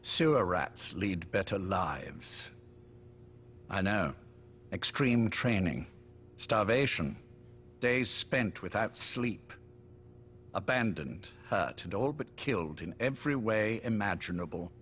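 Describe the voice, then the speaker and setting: Low-voiced, genteel sickly spymaster. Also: male voice, animated, a bit bright, slight background noise. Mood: grave, intense. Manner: urbane, measured, wryly polite. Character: genteel, sickly older British male spymaster, courteous but menacing. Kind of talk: dramatic game voice acting.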